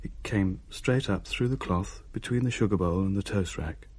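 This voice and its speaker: A man's voice: sits low, normal speed, a little mellow, slightly rough. An actor playing a young man who tells of things in a hesitant, descriptive way in a naturalistic radio play. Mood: haunted, awed. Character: uneasy younger man recounting a ghost sighting.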